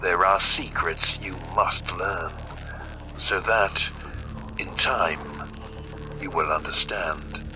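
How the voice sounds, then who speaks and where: An actor playing a solemn, regretful older patriarch, giving a formal, deliberate message on an old tape in an audio drama. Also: male voice, low voice, music in the background. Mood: grave, regretful. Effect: old tape recording. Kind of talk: slow scripted monologue, audio drama.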